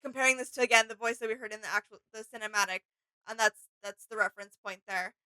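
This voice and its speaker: Woman co-host on a reaction vid, crisp smooth voice, bit high, chatty and informal, dry sound. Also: fast, sharp tone, no room sound, rough recording. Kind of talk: casual opinionated reaction chat.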